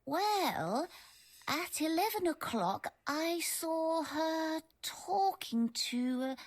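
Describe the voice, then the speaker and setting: High-voiced, drowsy, bitter old gentlewoman. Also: lively intonation, exaggerated voice. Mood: vague, sleepy. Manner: halting, trailing off, sighing. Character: drowsy, ailing, bitter older gentlewoman, suspicious and gossipy. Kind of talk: scripted game voice acting.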